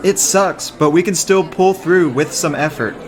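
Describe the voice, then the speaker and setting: Man acting blunt young debater, fairly high voice, exasperated, determined, faint noise, radio drama. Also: a little slow, natural voice.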